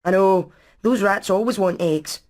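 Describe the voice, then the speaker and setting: A woman voicing a practical, clever engineer hen in an animated game, methodical and matter-of-fact. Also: medium pitch, measured pace, lightly acted. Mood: practical, careful. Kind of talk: animated video game voice acting.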